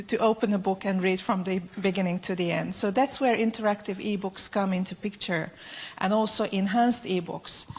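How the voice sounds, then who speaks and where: A woman with a Hungarian accent giving a conference presentation, speaking haltingly with frequent hesitations and repeated words. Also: medium pitch. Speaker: educational publisher's account manager, presenting.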